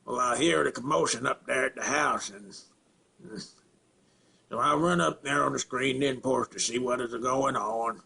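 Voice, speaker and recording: A man acting a simple-minded rural Southern man in a halting, plainspoken dramatic film monologue, with a throaty rasp and grunts. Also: mid-range voice, pushed and bright, heavily acted. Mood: grim, matter-of-fact. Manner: halting, repetitive, plainspoken, folksy.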